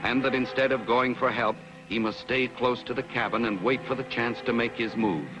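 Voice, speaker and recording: Man as earnest storyteller, scripted 1950s frontier narration, mid-range voice, clear music behind. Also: medium pitch, fairly even intonation, moderate pace, lightly acted, rough recording. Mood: earnest, suspenseful.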